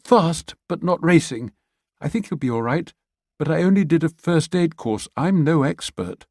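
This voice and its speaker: A man voicing a brisk, caring young woman who gives first aid and talks quick and firm. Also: on the high side, lively intonation, darkish tone, no room sound, clean studio sound, pitched above the performer's own voice. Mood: urgent.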